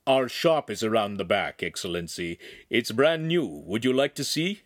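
A man's voice: on the low side, sing-song, moderate pace, dry recording, studio quality. A man playing a protective, deferential middle-aged craftsman and father, polite but firm, in a narrated story.

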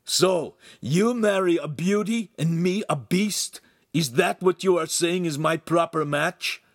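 A man voicing a jealous, boastful young suitor in a story, loud and indignant, spitting out his phrases. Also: mid-range voice, unhurried, slightly rough, dry recording, clean studio sound, pitched above the performer's own voice. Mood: enraged.

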